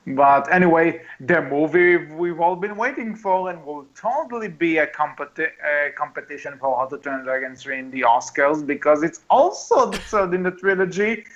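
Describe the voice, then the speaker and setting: A man with a fairly high voice chats casually as a podcast co-host. He talks haltingly and circles back over his phrases, and the recording is dull and lo-fi. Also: expressive, fairly bright tone. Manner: halting, rambling, repeats phrases. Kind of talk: casual podcast chat about animated films. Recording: dull lo-fi voice chat audio.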